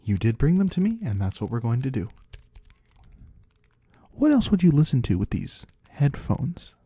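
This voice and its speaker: A man's voice: mid-range voice, very animated, normal speed, mellow, slightly rough, no echo. A man playing a curious otherworldly trader in an ASMR roleplay, musing in quaintly formal words and savoring single ones close to the microphone. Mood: curious, bemused, then musing, playful. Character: curious otherworldly male trader of the shadowy void. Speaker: ASMR performer. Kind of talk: ASMR roleplay, soft slow close speech to the listener. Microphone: close microphone, dry.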